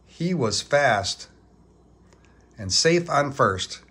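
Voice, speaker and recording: Guy reading a kids book out loud, really slow and expressive. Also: medium pitch. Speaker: children's book reader. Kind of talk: storybook read-aloud.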